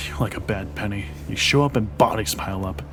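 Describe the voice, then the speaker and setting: An actor playing a hostile senior agent in an audio roleplay drama, scornful, commanding and threatening. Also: male voice, lowish voice, fairly quick, lightly acted. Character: hostile, bossy senior male agent, antagonist. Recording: music behind the voice.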